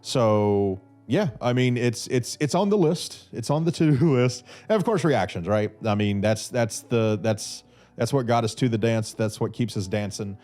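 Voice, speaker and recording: A man in his thirties answers listener questions on his podcast, rambling with plenty of "uh"s and restarts. His voice sits on the low side and sounds a little stuffy from a cold. He is close to a studio mic, with faint music behind him. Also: a little mellow. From North Carolina. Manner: rambling, many fillers and restarts. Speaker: podcast host. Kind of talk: casual solo Q&A podcast. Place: small room with brick wall, seated in armchair. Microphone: red studio mic on boom arm.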